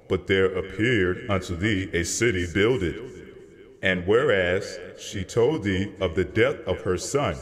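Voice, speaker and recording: Commanding scriptural angel, male performer, deep-leaning and unhurried, measured archaic delivery, long echoing reverb. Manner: stately, measured, authoritative, archaic phrasing. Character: commanding, comforting male angel explaining a vision. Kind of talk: dramatized scripture reading.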